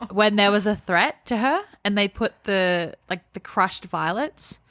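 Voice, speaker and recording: A woman's voice: mid-range voice, expressive, a little slow, a bit bright. A woman podcast co-host chatting about books in an informal, playful way. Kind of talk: casual book chat.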